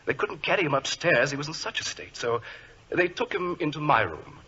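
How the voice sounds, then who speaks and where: Effusive British gentleman, bright, lively, husky, chatty, fussy, 1940s radio drama, dull old recording. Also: a little high, a little fast, a little hiss or hum. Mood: flustered. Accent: British English. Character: affable, chatty, fussy English gentleman, future brother-in-law.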